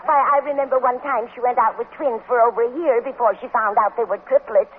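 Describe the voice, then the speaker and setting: A woman's voice: a little high, quick pace, slightly rough. A woman playing a chatty, scatterbrained elderly lady who rambles, in a 1950s radio comedy. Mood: chatty, placid. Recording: muffled, hissy 1950s radio broadcast.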